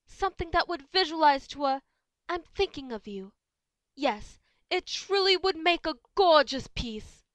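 High-voiced, genteel, theatrical lady unicorn played by a woman. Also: lively intonation, a little slow, no room sound. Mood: affectionate, haughty. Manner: genteel, dramatic. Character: refined, theatrical, doting female unicorn pony. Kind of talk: amateur audio drama acting.

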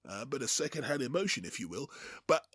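A man hosting a Bible teaching, speaking in a deliberate, emphatic, phrase-by-phrase sermon style. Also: mid-range voice, big pitch swings, a bit bright, slightly rough, no room sound, studio quality. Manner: deliberate, emphatic, phrase-by-phrase delivery with rhetorical questions.

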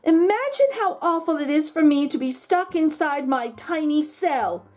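A woman's voice: high-pitched, animated, moderate pace, darkish tone. A woman voicing a self-pitying, melodramatic dog from a storybook, pleading and stretching out words theatrically. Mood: pitiful. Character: melodramatic, self-pitying male dog writing letters home.

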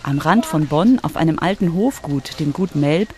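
A woman's voice: sits low, animated, moderate pace. A woman reporter giving scripted narration for a children's radio story.

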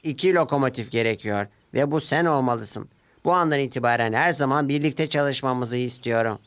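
A man's voice: mid-range voice, normal speed, a bit bright, close to an everyday voice. A man voicing an eager, earnest little male steam locomotive in a children's cartoon. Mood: pleading. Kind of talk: children's cartoon character dialogue.

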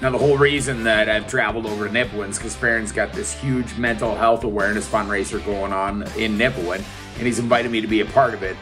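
Playful Canadian man, folksy talker. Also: fairly low pitch. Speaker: vlog host, comedian. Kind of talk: casual vlog chat.

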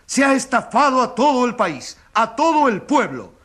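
An actor playing an indignant crusading newspaper editor, declaiming emphatically as the accuser in a courtroom radio drama. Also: male voice, very high-pitched, sing-song, normal speed, fairly bright tone, full character voice. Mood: indignant, accusing. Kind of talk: theatrical radio drama oratory.

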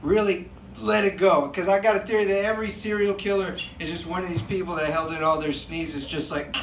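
Animated young man doing stand-up. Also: high voice, brisk. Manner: animated, acts out bystanders with big excited outbursts. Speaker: stand-up comedian. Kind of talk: stand-up set. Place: small comedy club stage, indoors. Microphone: handheld mic. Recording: echoey club room with crowd noise.

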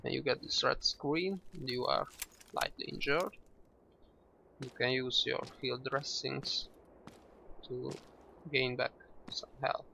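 A man with a gravelly, mid-pitched voice walks through a shooter game at an unhurried pace. He explains things patiently, starting sentences with "well" and pausing on "uh". Loud gunfire from the game plays behind him. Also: a little hiss or hum, rough recording. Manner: relaxed, explanatory, with frequent "well" and "uh" restarts. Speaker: gameplay tutorial narrator. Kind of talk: casual instructional commentary over gameplay. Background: game gunfire and shooting sounds.

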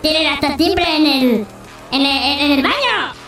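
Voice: high voice, expressive, normal speed, bright, forceful tone. A player voicing a vengeful little female pet dog in a video game roleplay, theatrical, whiny and gloating. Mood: menacing, gloating. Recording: echoey, distant, music underneath.

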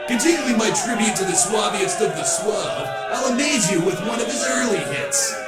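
A man's voice: high voice, lively intonation, moderate pace, reverberant, over a noisy crowd. A man voicing a cocky, wild, green-faced trickster rock showman in a comic book dub, hammy and theatrical. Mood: mock gracious. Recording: loud music behind the voice. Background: loud music.

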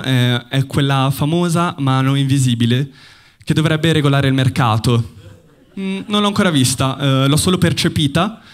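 A young man from Bologna performing a stand-up comedy set on a theater stage, talking into a handheld mic with a deadpan, ironic delivery and pauses for laughs. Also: medium pitch. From Bologna, Italy. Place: theater stage before a live audience.

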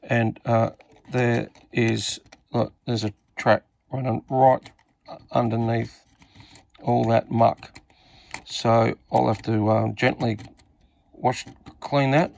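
An Australian man talks through hands-on repair work in a casual, unscripted way. His voice sits at an average pitch with plenty of fry and gravel. He thinks aloud hesitantly, like a hobbyist showing the job as he goes. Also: little pitch movement, slow pace, pauses often, darkish tone. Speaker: radio repair hobbyist presenter.

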